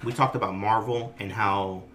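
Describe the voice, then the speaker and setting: A man co-hosting a casual podcast, telling animated family stories with plenty of swearing. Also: on the low side, normal speed, a little hiss or hum. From Greek-American family. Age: adult. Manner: animated, profane storytelling, swings between loud and quiet. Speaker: podcast co-host. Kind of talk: casual chat.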